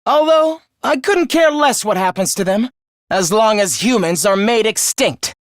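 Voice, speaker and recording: A man's voice: high-pitched, animated, measured pace, dry recording, studio quality. A man playing a contemptuous, human-hating young villain in a video game, theatrical and scheming in his delivery. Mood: manic rage. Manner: theatrical, contemptuous, swinging from hushed menace to shrieking. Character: young male traitor, genocidal, gloating, human-hating schemer. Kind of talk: video game voice acting, villain confession monologue.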